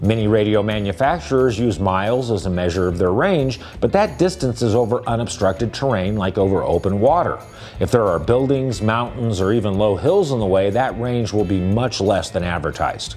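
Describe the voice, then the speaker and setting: A man's voice: fairly low pitch, lively intonation, normal speed, a little mellow. A man giving a scripted explainer voice-over about handheld radios as a store's product presenter.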